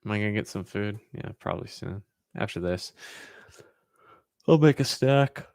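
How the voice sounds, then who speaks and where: Low-voiced American man, dry close sound. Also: animated, normal speed, vocal fry, darkish tone, no room sound. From California, United States. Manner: rambling, informal, thinking aloud. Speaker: host answering viewer questions. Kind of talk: casual Q&A chat.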